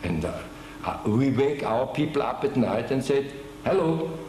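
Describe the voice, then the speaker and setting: An older man giving an oral history interview, speaking haltingly with many restarts and fillers. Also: medium pitch, sing-song, a little mellow, reverberant, a little hiss or hum, low-quality recording. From Germany. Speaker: veteran interviewee.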